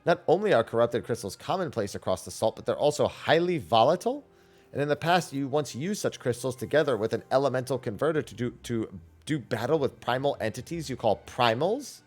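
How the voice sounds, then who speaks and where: A man voicing a boastful, smooth-talking merchant from a video game, with florid sales patter. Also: medium pitch, lively intonation, a little fast, natural voice. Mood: dismayed. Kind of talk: game character dialogue read aloud.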